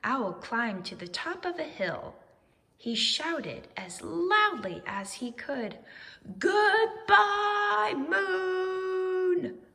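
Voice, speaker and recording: A woman narrates a children's storybook with expressive, swooping intonation. Her delivery is slow and measured, with a creaky edge. Long reverb trails the voice. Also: fairly high pitch. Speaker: storybook reader. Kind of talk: expressive children's read-aloud.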